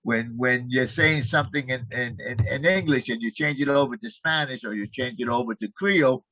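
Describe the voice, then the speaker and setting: Man, outreach worker, husky and dry, talks through points on a lo-fi call. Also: medium pitch, normal speed, bright, forceful tone, dry recording. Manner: explanatory, repeats words as he goes. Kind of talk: online group meeting.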